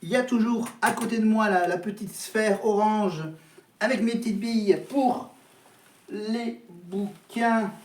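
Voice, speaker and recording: High-pitched man, casual vlog talk, halting with many restarts, roomy echo, distant from the mic. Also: a little mellow. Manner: hesitant, repeats words and restarts often. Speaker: vlogger. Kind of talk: casual chat to camera. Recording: echoey room, voice from off mic.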